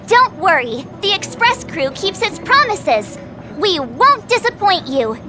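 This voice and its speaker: A woman's voice: very high-pitched, very animated, measured pace, heavily acted. An actress playing a perky, self-assured young woman detective in a video game, playful and boastful. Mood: breezy confidence. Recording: music under the voice.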